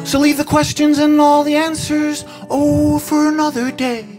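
An older man, a singer-songwriter, singing his own songs into a stand mic on a small cafe stage, with soft, halting spoken introductions between songs. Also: very high-pitched, level tone. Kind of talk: singing original songs with acoustic guitar. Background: his own acoustic guitar.

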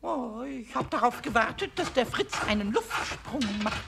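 An actor voicing a small, cheeky, excitable kobold in a children's radio play, with a squeaky, husky croak and stammering, singsong talk. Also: normal speed, slight background noise. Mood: eager, playful. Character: small impish kobold, playful, cheeky, rhyme-loving.

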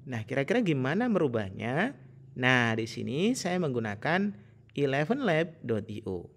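A man's voice: mid-range voice, sing-song, normal speed. A man presenting a casual step-by-step tutorial in an instructional manner.

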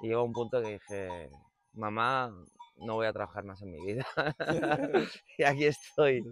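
A man's voice: on the low side, very animated, a little slow, a bit bright. A man from Barcelona with a Catalonia Spanish accent, a shepherd talking casually about his own life in an interview. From Barcelona, Spain. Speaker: shepherd, livestock farmer. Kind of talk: casual interview answers, personal storytelling.

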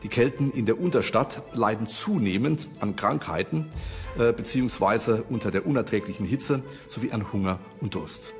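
A man's voice: lowish voice, normal speed, over music. A man explaining history as an expert in a documentary interview.

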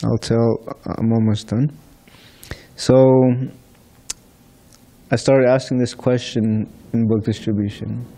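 A young man from the Philippines leads an informal class in a large temple hall, speaking into a stand microphone. He talks in a chatty, storytelling way with a mellow, relaxed tone. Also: medium pitch, slow, vocal fry, long pauses. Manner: chatty, storytelling with light laughs. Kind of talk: informal scripture class talk. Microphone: stand mic in front of seated speaker.

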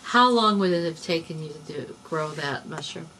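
A woman's voice: deep voice, animated, normal speed, bright, forceful tone, a little hiss or hum, poor audio. A middle-aged woman interviewing a guest in a small cluttered office, effusive and enthusiastic. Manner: effusive, enthusiastic, laughs often. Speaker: interviewer, extension director. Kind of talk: casual interview.

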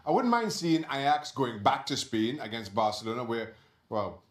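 A man on a football panel giving his views in a measured way, often repeating words as he thinks. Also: medium pitch, lively intonation. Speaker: football pundit. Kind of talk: sports panel discussion. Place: TV studio. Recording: roomy studio sound.

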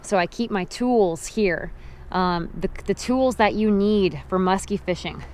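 A woman in her thirties talks straight into an action camera held at arm's length while standing outdoors by a river. Her voice sits at an ordinary middle pitch, and her delivery is friendly and practical, turning emphatic whenever a safety rule comes up. A faint wash of outdoor noise sits under the speech. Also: a little slow, a little mellow. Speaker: fishing vlogger. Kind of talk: casual how-to talk to camera. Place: outdoors on a river gravel bank.

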